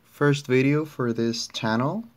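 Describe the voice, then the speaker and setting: Young Chilean man, Spanish accent, unhurried hesitant unboxing narration, middle pitch. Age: young adult. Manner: unhurried, hesitant, many fillers and restarts. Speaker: card collector opening packs. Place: indoors at a table.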